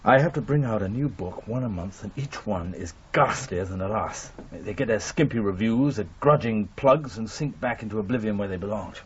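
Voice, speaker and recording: An actor playing an exasperated, absent-minded book publisher, grumbling sardonically in a radio drama. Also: male voice, lowish voice, moderate pace, hardly any pauses, breathy edge. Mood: exasperated, sardonic. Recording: muffled old radio broadcast with hiss.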